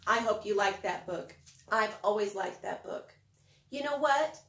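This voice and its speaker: A middle-aged woman giving a lesson and storybook read-aloud for young children, speaking in simple, expressive phrases. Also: fairly high pitch. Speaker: preschool Bible teacher. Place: home kitchen, indoors. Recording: roomy kitchen echo.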